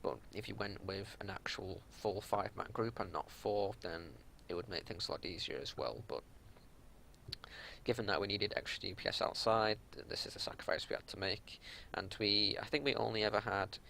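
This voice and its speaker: A man giving unscripted gameplay commentary as a raid leader, explaining tactics in a matter-of-fact way over a home recording with some low rumbling noise. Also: moderate pace, gravelly, bright, forceful tone.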